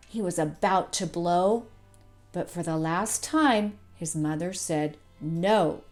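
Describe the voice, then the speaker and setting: Slow-paced woman reading a children's story. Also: fairly low pitch, darkish tone. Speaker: storytime host and narrator. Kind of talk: scripted children's storybook narration.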